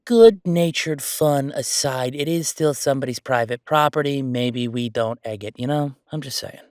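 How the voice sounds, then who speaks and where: A man's voice: medium pitch, moderate pace, clean tone, dry recording, close to an everyday voice. Man playing a playful, chatty ghost roommate, dry close sound. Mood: chatty, relaxed. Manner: excitable, chatty, joking, hesitating conversationally. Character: friendly male ghost roommate, playful, mischievous, chatty jokester. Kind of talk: casual roleplay audio drama.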